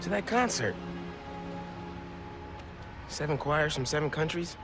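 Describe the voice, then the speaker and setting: An actor voicing an earnest teenage boy superhero with a youthful, lively delivery in a game cutscene. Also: fairly high pitch, measured pace, over music.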